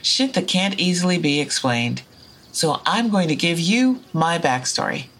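A woman's voice: lowish voice, animated, a little slow, a bit bright, exaggerated voice. A woman playing a frank, foul-mouthed time traveler in an audio drama, speaking and narrating in a casual, wry way. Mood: confiding, earnest. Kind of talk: audio drama dialogue and first-person narration.

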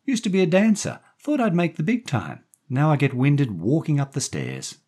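Man putting on a high-pitched brassy American gangster's moll. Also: huge pitch swings, moderate pace, a little mellow, breathy edge, heavily acted. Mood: chatty, sweet. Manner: slangy, coaxing, calls people honey. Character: brassy, coaxing young gangster's moll, a woman.

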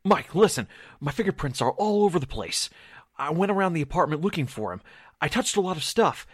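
A man's voice: fairly high pitch, sing-song, a little fast, breathy edge, dry recording. A man playing an anxious, flustered newspaper assistant with breathless run-on talk, in 1940s radio drama style. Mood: panicky. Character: jittery, anxious young-sounding male column assistant.

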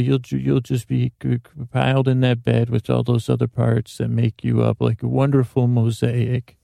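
A man's voice: mid-range voice, vocal fry, mellow, dry recording, clean studio sound. A man hosting a sleep podcast, rambling through a meandering bedtime monologue and stumbling over words on purpose. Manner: rambling, self-interrupting, botches words on purpose.

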